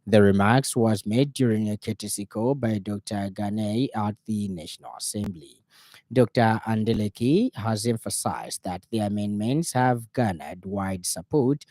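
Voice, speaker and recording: A man with a Zambian accent reading a radio news bulletin in a formal, measured way. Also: fairly low pitch, warm tone, no room sound.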